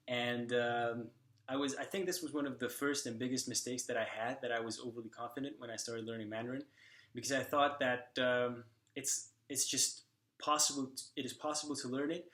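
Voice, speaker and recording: A young man from Slovakia, talking casually to the camera in a vlog and often pausing on fillers and restarts. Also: medium pitch, a bit bright, echoey room. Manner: hesitant, many fillers and restarts. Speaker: language vlogger.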